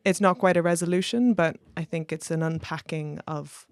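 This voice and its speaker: A woman's voice: fairly low pitch, fairly even intonation, normal speed, no echo. A woman hosting a podcast in a conversational introductory style. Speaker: podcast host.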